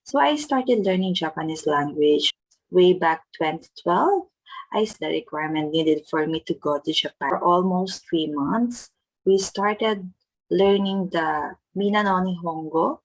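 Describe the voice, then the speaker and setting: Young Filipino woman vlogger, gravelly husky voice, earnest step-by-step delivery. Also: on the low side, poor audio. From Philippines. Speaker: vlogger teaching Japanese test tips. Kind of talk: casual vlog narration.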